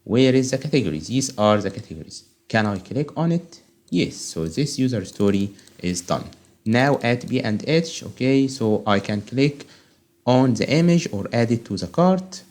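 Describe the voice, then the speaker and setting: A man's voice: mid-range voice, animated, normal speed, a little mellow. A man with an Arabic accent, a native Arabic speaker, giving an informal step-by-step screen tutorial as an online instructor, often checking in with "okay?".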